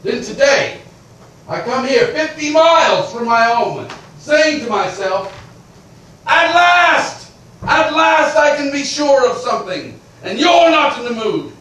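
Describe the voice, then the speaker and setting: An actor playing an irascible middle-aged landowner who rants and blusters, swinging between shouting and grumbling. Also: male voice, very high-pitched, sing-song, measured pace, heavy reverb, recorded from a distance, heavily acted, rough recording. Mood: exasperated. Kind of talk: theatrical stage acting.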